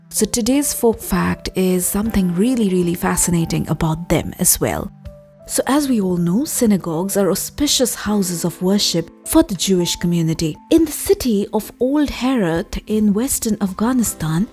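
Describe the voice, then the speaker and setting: A woman hosting a podcast, narrating folktales expressively with dramatic, deliberate phrasing. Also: medium pitch, warm tone. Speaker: podcast host and storyteller. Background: music.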